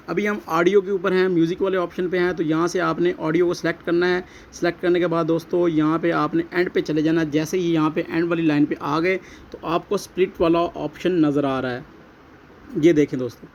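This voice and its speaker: A man with a Pakistani accent narrating a step-by-step app tutorial, explaining repetitively and addressing viewers as friends. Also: on the high side. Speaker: tutorial host. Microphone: voice-over recorded alongside phone screen recording. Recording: dull lo-fi audio, faint background noise.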